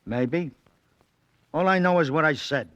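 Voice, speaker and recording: Sharp, high-voiced American fight manager. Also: male voice, a little slow. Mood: guarded, uneasy. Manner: blunt, guarded. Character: wary, hard-boiled boxing manager, slangy and blunt. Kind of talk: old time radio drama acting.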